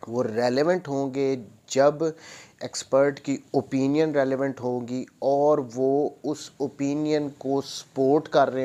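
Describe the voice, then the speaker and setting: A man with a Pakistani accent teaches like a law lecturer, at an unhurried pace and a medium pitch. He reads formal text out, then restates it step by step, switching between two languages as he explains. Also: dark tone. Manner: explanatory, repeats and rephrases points, switches between Urdu and English. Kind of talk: lecture explaining statute sections, reading out then paraphrasing.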